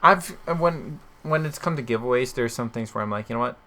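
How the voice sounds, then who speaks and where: A man's voice: mid-range voice, a little fast, pushed and bright. A man chatting casually and informally as a podcast host, reacting conversationally to his co-host. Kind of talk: casual chat.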